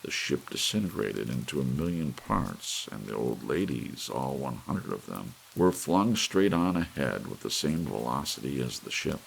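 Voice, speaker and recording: A man with a gravelly voice reading an audiobook story in a soft ASMR narration style. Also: rumbling low, very animated, moderate pace, few pauses, poor audio. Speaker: audiobook reader. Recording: faint hiss under the voice. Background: soft rain.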